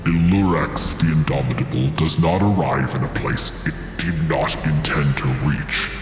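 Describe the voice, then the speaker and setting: A man's voice: rumbling low, normal speed, voice pushed down. A man voicing a huge, imperious dragon in a tabletop game, making pompous, formal proclamations with an echoing effect. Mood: imperious, menacing. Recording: cavernous reverb, music underneath.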